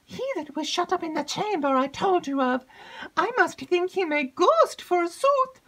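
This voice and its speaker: A giddy young servant girl, put on by a woman reader, spills her news in a high, squealing rush. Her voice leaps and swoops with every exclamation, and she can barely keep still for breath. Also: animated, unhurried, sharp tone, exaggerated voice. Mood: excited. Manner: breathless, gushing, high-pitched. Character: excitable chattering young female servant.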